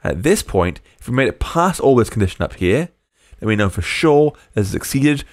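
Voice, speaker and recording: A man's voice: mid-range voice, sing-song, normal speed, a little mellow, no room sound, studio quality. A man narrating a screencast coding tutorial as a programming tutor, explaining step by step and dictating code word by word.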